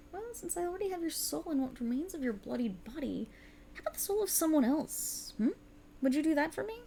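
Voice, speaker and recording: Girl doing a cruel dark deity for asmr roleplay, highish creaky voice, taunting and snooty. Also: a little hiss or hum, full character voice. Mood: teasing, coaxing.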